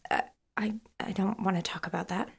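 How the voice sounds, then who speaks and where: An actress playing a shaken, evasive woman under questioning in an audio drama, speaking in halting, short answers. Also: mid-range voice, steady tone. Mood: guarded, uneasy. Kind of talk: naturalistic audio drama acting. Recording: clear background noise.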